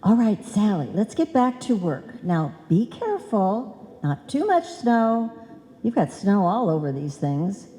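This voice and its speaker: A woman plays a scatterbrained, bossy wife in a radio comedy. She swoops through her lines at an unhurried pace, earnest and chiding, with long hall reverb around her. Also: medium pitch, sing-song, warm tone. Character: scatterbrained, bossy wife who mangles common sayings. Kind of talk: old-time radio comedy acting. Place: on stage in a live performance.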